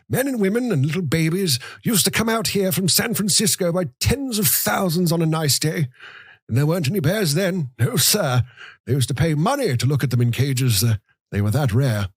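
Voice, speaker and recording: A man voicing a frail, querulous old grandfather in a thin, unsteady falsetto for an audiobook. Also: breathy edge, no room sound, clean studio sound. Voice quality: thin, cracked, undependable falsetto. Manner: muttering, cackling, nostalgic. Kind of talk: audiobook character voice.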